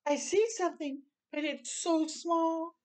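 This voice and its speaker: A woman voicing an earnest, unsure male stuffed owl in a children's storybook, speaking slowly and simply with a wondering manner. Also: extremely high pitch, fairly even intonation, darkish tone, pitched above the performer's own voice. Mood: small, hurt. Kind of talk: children's storybook read-aloud.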